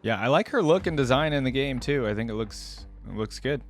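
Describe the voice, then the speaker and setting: A man's voice: medium pitch, expressive, a little fast, soft background music. A man giving casual reaction commentary as a host, sometimes reading text aloud.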